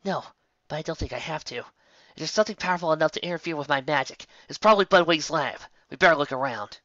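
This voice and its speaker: A man voicing a caring, bookish young mare, raised pitch. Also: fast, sharp tone, no room sound, muffled recording. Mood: alarmed. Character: caring, bookish young female pony, worried friend. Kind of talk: fan fiction audio reading.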